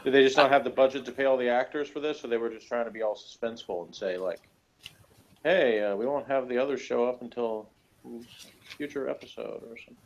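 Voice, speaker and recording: A man's voice: medium pitch, fast. A man chatting casually as a guest reviewer, hesitant, rambling and flippant, on dull lo-fi audio. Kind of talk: casual chat.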